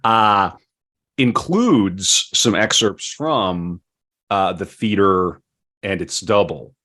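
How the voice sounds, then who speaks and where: Guy hosting a podcast, lowish voice, kinda wry, jokes around, pauses a lot mid thought. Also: sharp tone. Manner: wry, joking, with halting pauses. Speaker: podcast host. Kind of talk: casual podcast talk.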